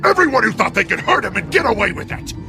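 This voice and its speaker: A man voicing a vengeful split-personality gangster villain, gravelly and threatening, swinging between growls and yells. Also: high-pitched, sing-song, fast talker, full character voice. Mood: furious, menacing. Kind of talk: villain character acting. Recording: background music.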